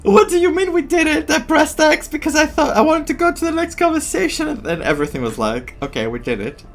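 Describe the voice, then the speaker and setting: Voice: high voice, very animated, a little fast. A game streamer giving casual, gushing and giggly reactions while playing. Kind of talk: casual gameplay reaction commentary. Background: game music.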